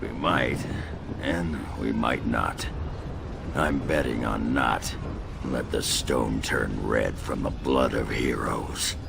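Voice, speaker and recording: A man playing a gruff, loyal, fatalistic dwarf warrior in a game, speaking bluntly and solemnly with a gravelly voice. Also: rumbling low, slow, full character voice. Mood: solemn, grateful. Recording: clear background battle ambience noise.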